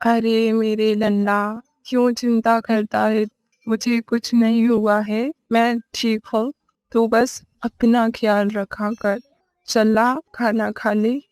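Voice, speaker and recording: A woman voicing a gentle, caring village mother in a story cartoon, soothing and affectionate. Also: fairly high pitch, level tone, slow pace, clean tone, no echo. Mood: tender, reassuring. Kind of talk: acted dialogue in a moral story cartoon.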